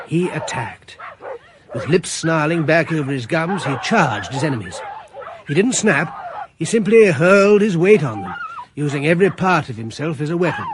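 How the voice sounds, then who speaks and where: A man narrating in the first person and playing the lead in a radio drama, with a measured, reflective delivery. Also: a little high, noisy background.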